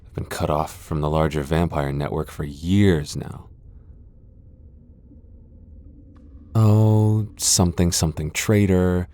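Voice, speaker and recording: A man's voice: low-pitched, vocal fry, a little mellow. A man playing a haughty, centuries-old vampire lord in a fantasy ASMR roleplay, sardonic, taunting and theatrical. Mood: disdainful, sardonic.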